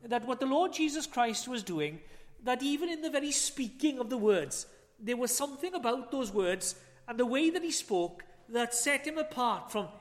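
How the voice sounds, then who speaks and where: A man preaching a chapel sermon, earnest, with rhetorical questions and swells and drops in loudness. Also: very high voice. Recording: long hall reverb.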